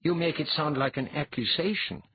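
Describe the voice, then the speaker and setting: Man as a wry commanding mentor, husky voice. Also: fairly high pitch, normal speed, dry recording, phone-quality sound, pitched above the performer's own voice. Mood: dry, calm. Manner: dry, unruffled, instructive.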